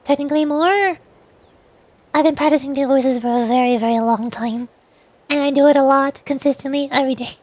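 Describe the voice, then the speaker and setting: High-voiced rambling woman streamer. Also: fairly quick, darkish tone, no room sound. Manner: rambling, self-correcting, repeats phrases. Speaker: streamer and amateur voice actor. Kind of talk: casual livestream chat.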